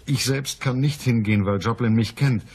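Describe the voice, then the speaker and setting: An actor playing a dry, commanding private detective in a crime radio play, matter-of-fact and wry. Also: male voice, medium pitch, normal speed, a little mellow, breathy edge. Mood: businesslike. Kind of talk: German crime radio play, dialogue and narration.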